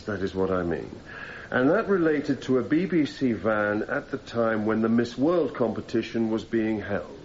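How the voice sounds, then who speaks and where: An actor playing a persistent, formal defence barrister cross-examining a witness in a radio courtroom drama, putting methodical leading questions. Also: male voice, on the low side, a little mellow. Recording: slightly muffled, noisy radio drama recording.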